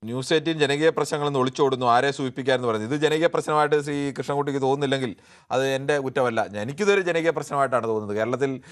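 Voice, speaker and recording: A man's voice: medium pitch, rapid-fire. A man hosting a live discussion show, reading out viewer comments and answering them argumentatively. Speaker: TV phone-in discussion host.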